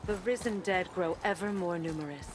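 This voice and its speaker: A woman playing a wise, solemn keeper of old magic in a video game, speaking in a measured, knowing, gently instructive way. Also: fairly low pitch, background noise, natural voice. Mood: grave.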